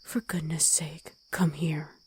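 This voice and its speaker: A woman voicing a gruff, protective wolf girl ex-soldier in an ASMR roleplay, stern and commanding, often in a hush. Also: sits low, level tone, slow, heavily acted. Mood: hushed, urgent.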